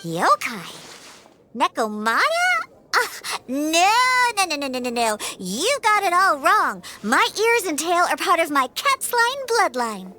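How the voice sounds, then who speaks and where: High-voiced little cat-eared girl. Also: very animated, measured pace, pushed and bright, exaggerated voice. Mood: earnest. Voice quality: childlike, girlish. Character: young cat-eared girl bartender, earnest and a little prickly. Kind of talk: video game character dialogue.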